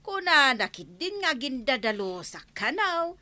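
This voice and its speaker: A woman's voice: high-pitched, very animated, measured pace, pushed and bright, no room sound, heavily acted. A woman playing an aggrieved, grudge-holding village woman in an Ilocano Filipino comedy drama, full of indignant complaint.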